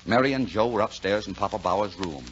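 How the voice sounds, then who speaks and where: A man's voice: fairly low pitch, fairly quick, noisy background, lightly acted. An actor playing a plain-spoken, reassuring American man telling a Christmas story in 1940s radio drama style. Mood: measured storytelling. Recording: dull 1940s radio broadcast, music behind.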